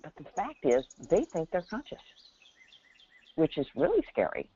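Animated speaker on a tinny phone line. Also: medium pitch, moderate pace, slightly rough. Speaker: host guiding a call-in discussion. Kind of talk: reflective conversational teaching. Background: birdsong from the caller's line.

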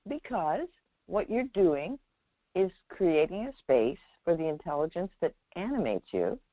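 Phone-line female spiritual teacher, dry sound, measured and halting, often checking that the listener follows. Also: on the low side, big pitch swings, no room sound. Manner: measured, halting, with pauses and emphatic check-in questions. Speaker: Zen teacher hosting a call-in. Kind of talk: unscripted spiritual guidance on a call-in. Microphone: telephone. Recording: narrow tinny phone line.

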